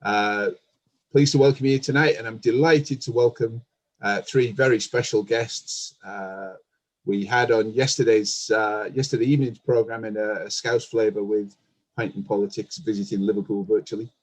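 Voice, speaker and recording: Low-voiced male show host. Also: fairly even intonation, normal speed. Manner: friendly, hesitant, frequent fillers. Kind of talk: casual online panel interview.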